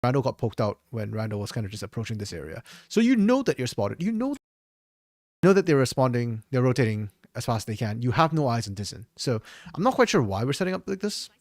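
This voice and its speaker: A man talks through a match replay on his own, his voice a bit hoarse and gravelly. He thinks aloud, often restarting mid-sentence, in a relaxed commentary style. The sound is dry and close, with no room around it. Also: mid-range voice, fast talker, dry recording. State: slightly hoarse. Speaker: esports caster doing a solo VOD review. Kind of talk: casual solo game analysis commentary.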